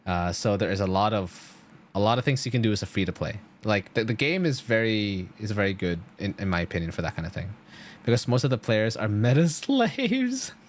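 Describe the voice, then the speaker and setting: A man's voice: lowish voice, big pitch swings, a little fast, slight background noise. A man streaming a game, chatting casually to viewers in an enthusiastic, slangy, rambling way.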